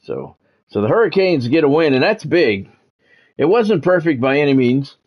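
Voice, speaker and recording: A man's voice: on the high side, big pitch swings, moderate pace. A man giving casual, rambling, conversational commentary as he hosts a football video game stream. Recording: dull lo-fi audio with music behind.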